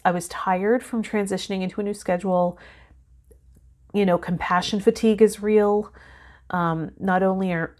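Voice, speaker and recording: A woman in her thirties talking reflectively and unhurriedly to camera as a tarot video host. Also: fairly low pitch. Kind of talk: casual reflective vlog talk to camera, partly reading card meanings aloud. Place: indoors, small home filming set with fabric backdrop.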